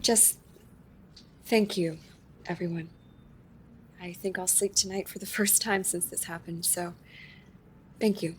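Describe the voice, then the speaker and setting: A woman's voice: fairly low pitch, fairly even intonation, a little slow, pauses often, slight background noise, close to an everyday voice. A woman playing an uncertain, guilt-prone newcomer to a support group in an audio drama, hesitant and questioning. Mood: grateful.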